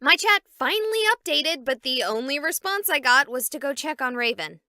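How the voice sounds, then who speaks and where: A high, animated female voice plays a stubborn young streamer in a horror visual novel. She is sarcastic and emphatic, with music running underneath. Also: normal speed, dry recording, clean studio sound. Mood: exasperated. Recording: music behind the voice.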